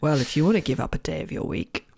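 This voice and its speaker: A woman co-hosting a casual podcast, her voice gravelly and a little croaky, cheerful and quick to laugh. The sound is dry and close, with no room echo. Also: low-pitched, sing-song, warm tone. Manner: upbeat, laughing often while telling stories. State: slightly croaky voice. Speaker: podcast co-host. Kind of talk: casual chat.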